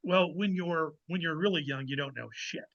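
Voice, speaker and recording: A man's voice: on the high side, moderate pace, a bit bright. A man, an author guesting on a podcast, chatting casually and reflectively in a hesitant way, on muffled audio.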